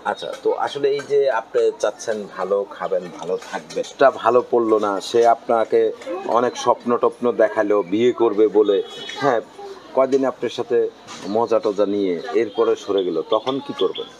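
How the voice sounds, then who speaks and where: A man's voice: fairly low pitch, a little fast, darkish tone, a little hiss or hum, poor audio. A man with a Bangladeshi accent interviewing someone casually, probing and repeating answers back as questions. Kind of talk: casual on-camera street-style interview.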